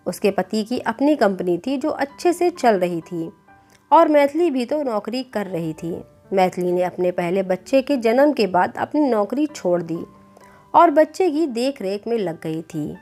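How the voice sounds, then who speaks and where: High-voiced woman storyteller over music. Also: a little mellow. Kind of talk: scripted narration of a Hindi moral story. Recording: clear background music bed.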